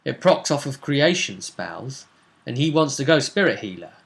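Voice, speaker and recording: A man's voice: medium pitch, very animated, moderate pace, creaky, fairly bright tone. A man casually recounting a tabletop role-playing session as its game master.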